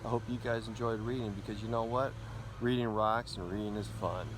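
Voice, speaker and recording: A man reads a children's storybook aloud in an expressive way, stumbling now and then, outdoors in a backyard. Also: on the low side, brisk. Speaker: school principal reading a story. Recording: noisy outdoor backyard recording. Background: neighbourhood ambience, mowers, dogs, kids.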